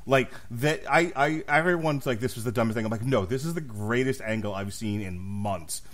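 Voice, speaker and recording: Man, mid-pitch voice, blunt, swears freely, casual podcast chat. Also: normal speed, a bit bright. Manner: blunt, profane, opinionated. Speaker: podcast host.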